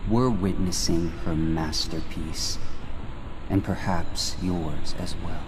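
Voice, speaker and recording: A man voicing a detached otherworldly god in a video game, cryptic and judging, with a measured, solemn delivery. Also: low voice. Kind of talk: scripted video game monologue. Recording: clearly noisy game ambience behind.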